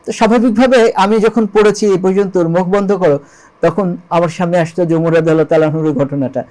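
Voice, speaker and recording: A Bangladeshi man with a high-pitched voice explains religious history as a storyteller. He is emphatic, repeating key phrases and asking rhetorical questions as he goes. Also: steady tone, fast, warm tone, rough recording. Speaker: interview guest, lyricist and composer.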